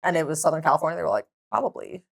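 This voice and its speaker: Fields: lively, laughing woman. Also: deep voice, very fast, gravelly. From Israel. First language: Hebrew. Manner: lively storyteller who laughs often. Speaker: podcast guest. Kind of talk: casual chat.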